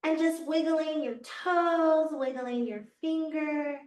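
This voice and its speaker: Woman yoga teacher, raspy fading voice, fairly high pitch, echoey studio heard from afar. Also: darkish tone. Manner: slow, soothing cues to the class. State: losing her voice. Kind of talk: guided yoga class instruction. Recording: echoey room, voice from far off.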